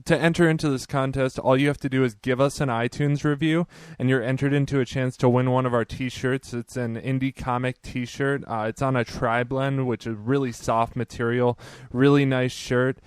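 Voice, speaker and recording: A man's voice: medium pitch, normal speed, smooth, no echo, clean studio sound. A man in his thirties hosting a podcast, talking loosely with lots of "you know" and "um". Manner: relaxed, with many fillers like "you know". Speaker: podcast host. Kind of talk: casual podcast intro and interview.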